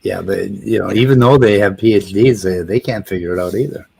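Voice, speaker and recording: Low husky man, dryly sardonic. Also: brisk, a little mellow. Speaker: host. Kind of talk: casual panel discussion.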